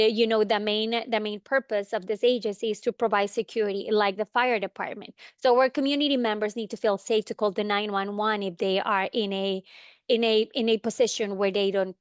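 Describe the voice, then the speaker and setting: A woman's voice: fairly high pitch, clean tone, no room sound. Woman, Honduran Spanish accent, native Spanish speaker, informal webinar panelist, dry close sound, slightly muffled band. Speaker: county immigrant support panelist.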